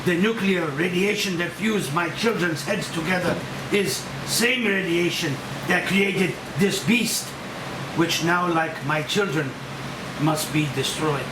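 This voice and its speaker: An older Japanese scientist played by a man, solemn and full of remorse. His voice sits high for a man and comes in halting, weighty phrases. It is a table read heard from off the mic in an echoey room with clear hiss. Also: distant mic. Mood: remorseful, grave.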